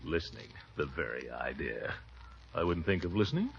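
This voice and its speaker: An actor playing a blustery, pompous middle-aged uncle, booming and hearty with chuckling laughs, in 1940s radio comedy. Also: male voice, animated, moderate pace, creaky, very noisy, full character voice. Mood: bossy. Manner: hearty and bossy, stretches out his words. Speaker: head of the household. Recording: dull 1940s radio broadcast.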